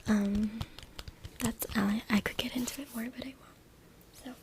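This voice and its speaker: A woman whispers an ASMR reading in a breathy, unvoiced voice. Her delivery is hushed and informal, with little rise and fall. She is close to a USB mic, with clear background noise and clicking. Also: fairly high pitch, fairly even intonation, unhurried. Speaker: ASMR creator. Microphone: close USB microphone. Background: tapping, scratching, clicks.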